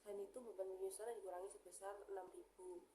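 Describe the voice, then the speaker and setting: A young woman reading out a class presentation haltingly, stumbling over English terms. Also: medium pitch, fairly even intonation, mellow. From Indonesia. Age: young adult. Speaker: student presenter. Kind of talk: class presentation, reading accounting slides aloud. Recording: roomy echo, faint background noise.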